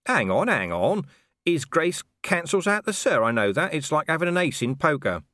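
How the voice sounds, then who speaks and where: A sardonic storybook commander played by a male reader, curt and easily exasperated. His pitch moves around a lot as he needles people, recorded close and dry. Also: sing-song, normal speed, pushed and bright, no echo. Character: gruff, sardonic male commander, reluctant titled nobleman.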